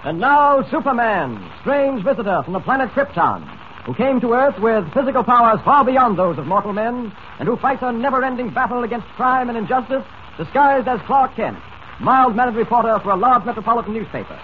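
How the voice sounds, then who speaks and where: An excited 1940s radio announcer, heard through a very narrow, thin band with some hiss. He narrates a superhero serial in an emphatic, dramatic manner, his pitch set high for a man. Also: a little fast. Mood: grand, rousing.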